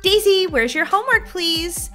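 Woman as a measured, lightly pitched schoolteacher. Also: expressive, music in the background. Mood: polite. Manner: measured, patient, gently scolding. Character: firm but kindly female schoolteacher.

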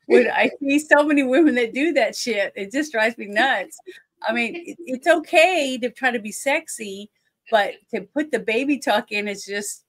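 A middle-aged woman with a fairly deep voice, co-hosting a casual movie review over a video call, blunt and opinionated. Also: normal speed. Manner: blunt, opinionated, laughs often. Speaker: co-host. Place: indoors at home. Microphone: webcam on a video call.

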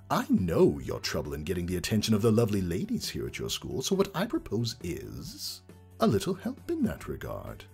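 An actor voicing a mischievous male chaos spirit in a fan fiction reading, theatrical and slyly persuasive, with a husky, raspy delivery. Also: mid-range voice, a little mellow, exaggerated voice. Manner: theatrical, drawn-out, swooping delivery.

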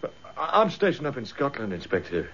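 A man's voice: medium pitch, big pitch swings, normal speed, fairly bright tone, husky, exaggerated voice. A man playing a tough, protective army instructor in a radio drama, blunt and forthright. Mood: bewildered. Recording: dull lo-fi radio drama recording.

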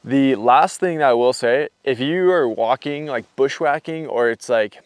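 A man's voice: mid-range voice, a little slow, dry recording. A young man casually walking through his hiking gear on camera, rambling and loose, with lots of fillers. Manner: rambling, filler-heavy, relaxed explaining. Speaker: hiking vlogger. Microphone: camera mic.

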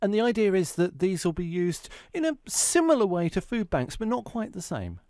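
A man's voice: high-pitched, huge pitch swings, normal speed, a little mellow, no echo, clean studio sound. A man asking questions as a radio interviewer.

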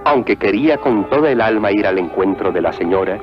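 A man's voice: lowish voice, lively intonation, fairly quick, music in the background, lightly acted. A man playing a grave, sympathetic narrator, reading scripted storybook narration for a cartoon. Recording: muffled old cartoon soundtrack.

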